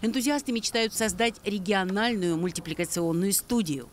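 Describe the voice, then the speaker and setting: A woman's voice: medium pitch, moderate pace, a little hiss or hum. Female news reporter, scripted report narration, mid-range voice.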